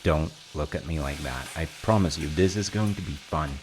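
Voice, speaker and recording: A man playing a cozy, playful, reassuring dad in an intimate audio roleplay, talking softly and conversationally to the listener with gentle teasing. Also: very deep voice, normal speed. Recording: noticeable kitchen noise of sizzling and stirring. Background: frying sizzle and kitchen sounds.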